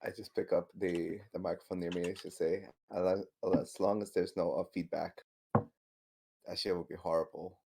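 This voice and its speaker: A man with a deep, creaky, husky voice plays a tabletop game. He speaks casually, as a player talking among friends at a session. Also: flat delivery, moderate pace, darkish tone. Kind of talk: casual gaming chat.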